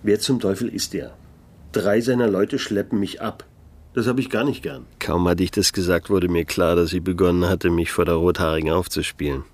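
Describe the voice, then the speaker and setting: An actor playing a laconic, disillusioned private detective who narrates and speaks in a German radio play. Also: male voice, sits low, normal speed, husky. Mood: defiant, sardonic. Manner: laconic, dry, world-weary. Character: wry, disillusioned male private detective narrating his case.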